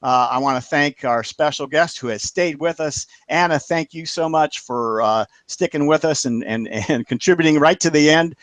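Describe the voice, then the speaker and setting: A man's voice: a little high, fairly bright tone, no echo. Guy hosting an online show, thanking everyone, keeps saying uh, dry mic. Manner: halting, many "uh" fillers; friendly, thanking panelists and viewers. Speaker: show host. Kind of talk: casual closing announcements on a web show.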